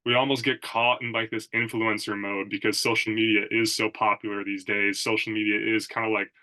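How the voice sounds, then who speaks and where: A young man speaks in a somewhat low register, nasal and stuffed up from allergies. His tone is bright and forceful. He rambles casually over a Zoom recording, leaning on filler words. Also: level tone, brisk, poor audio. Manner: rambling, filler-heavy, says "like" and "dude" often. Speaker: podcast host and strength coach. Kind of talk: casual solo podcast talk.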